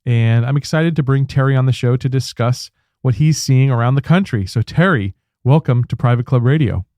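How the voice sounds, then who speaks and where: Mellow-voiced male radio host. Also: medium pitch, moderate pace, dry recording, clean studio sound. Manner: friendly, chatty, with frequent fillers and restarts. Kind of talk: casual interview chat.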